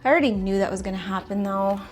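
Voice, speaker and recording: A woman's voice: mid-range voice, fast, soft background music. Chatty woman with a stuffy nose. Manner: chatty, rambling, self-correcting. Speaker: plant vlogger. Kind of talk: casual chat while rearranging plants. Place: apartment plant room.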